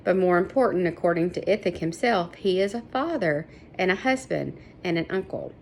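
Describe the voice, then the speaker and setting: A woman's voice: on the low side, lively intonation, normal speed, slight background noise. A woman voicing a respectful, knowledgeable young village girl in a storybook, explaining earnestly. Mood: proud. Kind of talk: storybook character voice.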